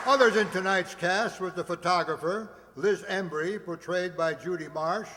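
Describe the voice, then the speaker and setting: A male announcer with a high voice presents a cast to a live audience in a jokey, showman-like way. The hall rings with long reverb and clear crowd noise. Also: moderate pace, bright, forceful tone.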